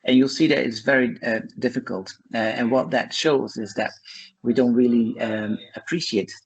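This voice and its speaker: A man from Belgium with a Flemish accent, a Dutch speaker, talking as a guest in an interview, pausing often with fillers. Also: mid-range voice, steady tone, husky, lo-fi sound. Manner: hesitant, many uh and um fillers. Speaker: guest, charity founder. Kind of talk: conversational interview.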